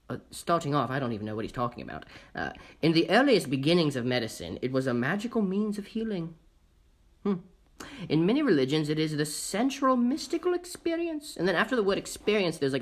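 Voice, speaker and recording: Woman playing a deep-voiced, exasperated British gent, mock-pompous, swooping all over. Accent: put-on British, drifting Irish or Scottish. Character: bumbling pompous British man reading aloud. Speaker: comedic reader. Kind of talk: comic reading of a book in a funny voice.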